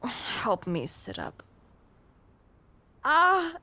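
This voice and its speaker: An actress playing a fiercely devoted young heroine in a video game cutscene, emotionally raw, with halting delivery. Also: female voice, on the high side, wildly swooping pitch, sharp tone, heavily acted. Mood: pained, weak.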